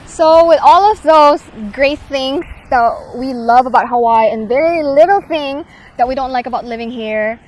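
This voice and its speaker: A young woman chatting casually to camera as a vlogger, full of fillers and quick restarts. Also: on the high side, a little hiss or hum, low-quality recording. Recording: music bed underneath.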